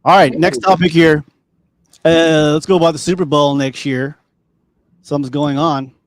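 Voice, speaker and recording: A man's voice: fairly high pitch, normal speed. A man with a Californian accent hosting a podcast, talking loosely with many fillers and restarts. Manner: relaxed, rambling, many fillers. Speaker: podcast host. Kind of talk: casual chat.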